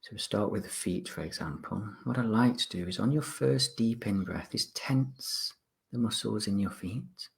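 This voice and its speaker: Low-voiced man leading a guided meditation. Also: vocal fry. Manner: instructional, polite, pausing between short phrases. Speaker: mindfulness and relaxation instructor. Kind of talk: guided body scan meditation.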